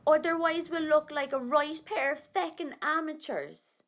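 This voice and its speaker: A woman's voice: high voice, a little slow, fairly bright tone. An actress with an Irish accent playing a bossy young female rebel, blunt, commanding and impatient, in an audio drama. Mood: impatient, accusing.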